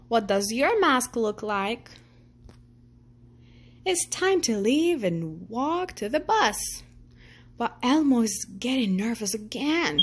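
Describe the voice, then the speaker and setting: A woman reading a children's picture book aloud in a gentle storytelling manner. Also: on the high side, slightly rough. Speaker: storytime reader.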